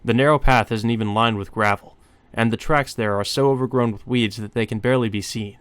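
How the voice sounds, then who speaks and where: A man's voice: medium pitch, fairly even intonation, a little fast, fairly bright tone, clear, resonant tone, no echo. A man narrating a visual novel aloud as a let's play host, with brief asides of his own.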